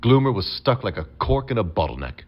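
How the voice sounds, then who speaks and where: Very deep-voiced male storybook narrator. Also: sing-song, fairly quick, husky, dull audio, faint music behind. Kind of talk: scripted storybook narration for an audio adventure game.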